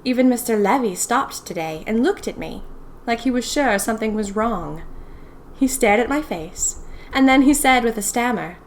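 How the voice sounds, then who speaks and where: A woman's voice: on the high side, lively intonation, normal speed, slight background noise. A woman playing a young 1920s typist who confides eerie happenings to her diary in a chatty, dramatic, wry way. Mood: puzzled, confiding. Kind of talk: first-person audio fiction diary narration.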